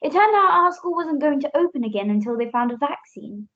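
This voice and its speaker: A woman's voice: high-pitched, animated, fairly quick, fairly bright tone. A young woman playing a mother who patiently explains and corrects, in an amateur radio play. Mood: explaining. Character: patient, correcting mother telling her child history. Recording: dull lo-fi home recording.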